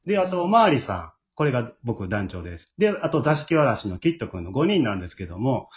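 A man's voice: mid-range voice, big pitch swings, quick pace, a crowd in the background. A man hosting a casual chat show, using polite announcer-style phrasing and reading out messages. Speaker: host. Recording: muffled lo-fi audio with background noise.

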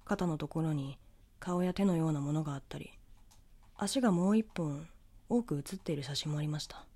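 A woman reading a scripted horror story in the first person, with measured storytelling delivery. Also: low-pitched, warm tone. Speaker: ghost story narrator.

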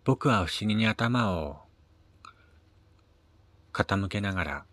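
Man, low pitch, dry sound, storytelling narration, measured dramatic pauses. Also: animated, fairly bright tone, dry recording. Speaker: amateur reader of his own short stories. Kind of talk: first-person short story narration.

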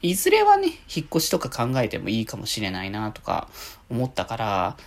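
A man's voice: mid-range voice, wildly swooping pitch, a little fast, creaky. A man hosting a casual solo radio talk, chatting in a rambling, conversational way.

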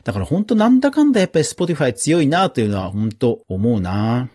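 A man's voice: mid-range voice, very animated, fast talker, dark tone, breathy edge. A man hosting a podcast news round-up in a casual, chatty way, with informal asides and little interjections of agreement. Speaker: podcast host.

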